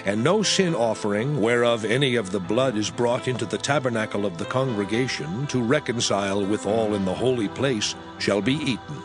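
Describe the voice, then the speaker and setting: A man narrating Bible scripture in a solemn, measured reading style. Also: lowish voice, music in the background.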